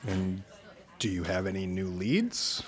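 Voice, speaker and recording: Shaken witness telling an eerie story. Deep, unhurried, halting, naturalistic audio-drama read. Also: male voice, lively intonation. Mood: guarded, curious. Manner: halting, deliberate, uneasy. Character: haunted married truck driver recounting eerie encounters. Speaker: podcast guest, witness.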